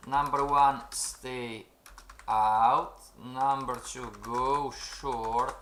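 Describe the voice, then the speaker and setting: A man leads a live-streamed trading lesson, often calling out to "everybody", checking in with "okay?" and reading out the chat. His voice sits in an ordinary middle pitch. The sound is roomy and echoey, with keyboard typing in the background. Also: steady tone, extremely slow, fairly bright tone, a little hiss or hum. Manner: keeps saying "everybody" and "okay?", reads out the chat. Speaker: trading instructor. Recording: roomy echo.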